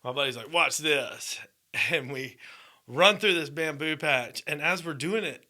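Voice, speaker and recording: A man's voice: a little high, unhurried, sharp tone. A man, telling a story in a rambling way with frequent laughs on a podcast. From Georgia, USA. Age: 30s. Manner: rambling, laughs often, restarts. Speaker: podcast co-host. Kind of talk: casual storytelling.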